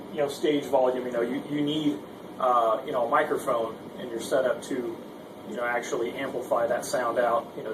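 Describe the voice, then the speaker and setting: Casual man demonstrating gear, roomy distant sound. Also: mid-range voice. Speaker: guitarist giving a gear demonstration. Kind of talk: casual instructional demo talk. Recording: roomy, distant mic with background noise.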